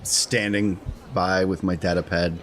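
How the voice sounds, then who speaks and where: Deep-voiced man playing a tabletop game. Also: level tone, unhurried, fairly bright tone, soft background music. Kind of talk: casual game chat.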